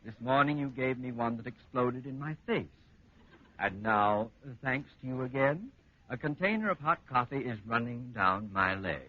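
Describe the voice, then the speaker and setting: A man's voice: mid-range voice, expressive, normal speed, lightly acted. A man acting a pompous, irascible middle-aged school principal with blustering delivery in a 1940s radio comedy. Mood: seething, exasperated. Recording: dull, very noisy 1940s radio recording.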